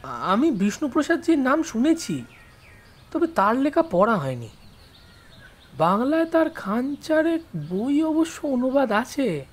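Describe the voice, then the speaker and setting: A woman's voice: high voice, very animated, slow pace, pauses often, heavily acted. A woman voicing a mild, courteous male writer in an audio story drama. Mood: pleasant, curious. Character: mild, genteel male writer, an educated visitor.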